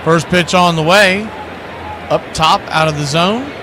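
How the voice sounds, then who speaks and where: A man's voice: on the high side, a little slow. A man doing live radio baseball commentary as a play-by-play announcer, with folksy, colorful phrasing. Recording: clear outdoor ambient noise behind.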